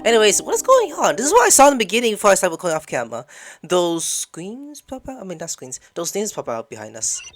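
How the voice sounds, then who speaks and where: A woman's voice: mid-range voice, huge pitch swings, fast. A woman game streamer giving casual, sweary let's play commentary and expressively reading dialogue aloud. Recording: game music behind the voice. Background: video game music.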